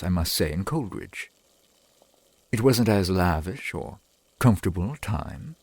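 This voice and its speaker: A man playing a courtly, adoring centuries-old vampire boyfriend in an ASMR roleplay, speaking in formal, old-fashioned phrases with a husky voice. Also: low voice, darkish tone, no room sound, full character voice. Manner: formal, archaic, genteel phrasing.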